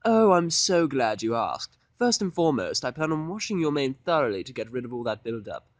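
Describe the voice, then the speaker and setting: Man putting on a high, genteel lady pony fashionista. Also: very animated, dry recording, close to an everyday voice. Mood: eager, gushing. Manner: affected, drawn-out, genteel delivery with terms of endearment. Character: refined, theatrical female pony fashionista, fussy and flattering. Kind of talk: audiodrama fan fiction character voice.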